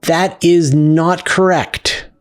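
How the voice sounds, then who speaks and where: A man's voice: on the high side, steady tone, drawn-out words, soft background music. A middle-aged man hosting a question show, answering in a conversational, explanatory way and leaning on key words for emphasis. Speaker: host of a space science question show.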